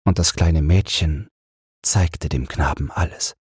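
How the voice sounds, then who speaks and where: A man narrating a fairy tale as a bedtime audiobook, calm, soothing and measured. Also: extremely low pitch, breathy edge, no room sound, clean studio sound.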